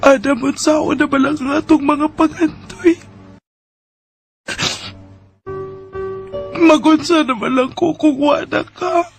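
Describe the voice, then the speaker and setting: A woman's voice: sits high, fairly even intonation, normal speed, gravelly. A woman playing a heartbroken young woman in a Filipino radio drama, speaking in an emotional, sighing way. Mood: anguished, crying. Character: heartbroken young woman jilted on her wedding day. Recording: music under the voice.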